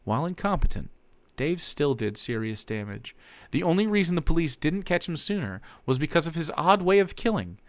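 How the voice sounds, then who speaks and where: A man's voice: on the high side, very animated, fairly quick, no room sound. A young man streaming, giving a theatrical reading of fan fiction and breaking off to comment, on a close desk mic.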